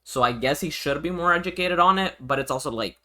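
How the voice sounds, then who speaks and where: A man's voice: fairly high pitch, a little fast, pushed and bright. A young man from Venezuela reacting on a livestream in casual, slangy, repetitive talk with plenty of swearing. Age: young adult. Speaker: streamer reacting to a video.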